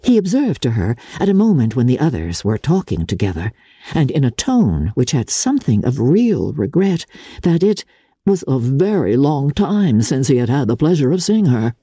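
A woman narrating classic fiction in a theatrical, measured and deliberate literary style, with a husky voice. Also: extremely low pitch, very animated, warm tone, no room sound, clean studio sound. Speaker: audiobook narrator.

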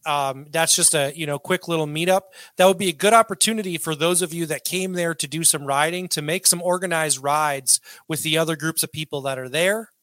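A man's voice: a little high, brisk, hardly any pauses, fairly bright tone, dry recording. A man hosting a casual podcast, loose and joking with crude humor. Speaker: podcast host.

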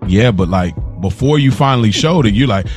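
A man's voice: lowish voice, lively intonation, fairly quick, soft background music. A man co-hosting a podcast, chatting and debating with a friend in an informal, slangy way. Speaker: podcast co-host. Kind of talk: casual chat, debating with a friend.

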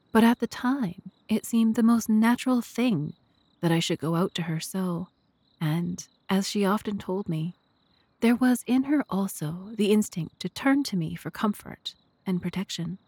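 A woman narrating a classic mystery audio drama in a measured, literary storytelling manner. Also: mid-range voice, a little mellow, dry recording.

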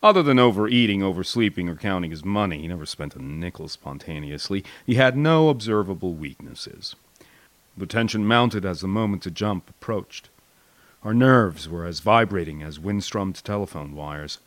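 An American man narrating a first-person war memoir as an audiobook, with expressive, dramatic delivery. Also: low-pitched, normal speed, no echo.

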